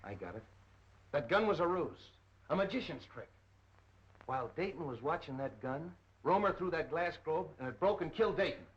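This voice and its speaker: An actor playing a brusque, impatient, sarcastic police detective in a 1930s film. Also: male voice, on the high side, lively intonation, moderate pace, a little hiss or hum, natural voice. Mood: thinking aloud. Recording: dull 1930s film soundtrack.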